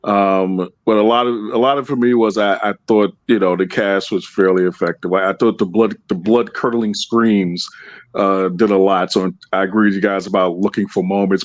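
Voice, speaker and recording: A man speaking as a guest panelist in a casual review, hesitant and thinking aloud. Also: sits low.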